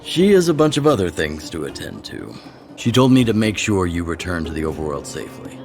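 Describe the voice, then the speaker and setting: A man's voice: low voice, big pitch swings, fairly quick, gravelly, faint music behind, exaggerated voice. A man voicing a laid-back, wry fellow from the underworld in a video game. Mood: wry, casual.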